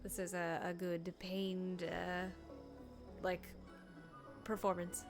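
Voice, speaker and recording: A woman's voice: on the low side, flat delivery, slow, over music. A woman game streamer giving casual, playful live commentary in a chatty, off-the-cuff way. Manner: playful, chatty, reacting as she goes.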